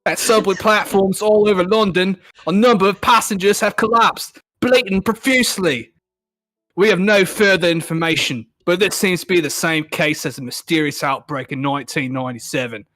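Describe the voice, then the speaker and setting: An urgent, solemn news anchor played by a man delivers emergency bulletins. The voice sits high for a male, with a dramatic reading style. Light music plays underneath. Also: normal speed, fairly bright tone. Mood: grave, urgent.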